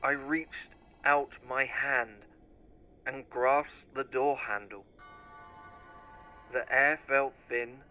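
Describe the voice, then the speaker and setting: A man narrating a scripted first-person horror story in a deliberate, theatrical storytelling manner. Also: mid-range voice, fairly even intonation, phone-quality sound.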